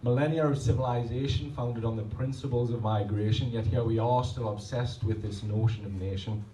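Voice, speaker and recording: A man's voice: mid-range voice, steady tone, brisk, dark tone, slight background noise. Man. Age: 30s. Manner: rhythmic, rhymed delivery between rambling, self-deprecating asides. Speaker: spoken word poet. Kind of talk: poetry reading with chatty asides. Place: pub function room at a poetry night. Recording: roomy, distant live recording, faint ambience.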